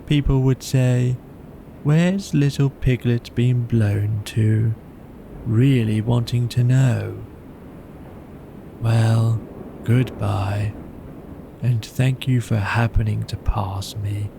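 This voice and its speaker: A man voicing a gloomy old donkey who speaks slowly and mournfully, in a deadpan way. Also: medium pitch, gravelly, background noise. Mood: mournful farewell. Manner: slow, doleful, deadpan.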